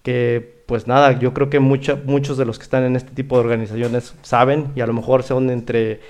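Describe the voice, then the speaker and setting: A man's voice: medium pitch, level tone, moderate pace, darkish tone. A man with a Mexican accent hosting a casual interview, talking in a rambling way and often adding little tag questions. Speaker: podcast host.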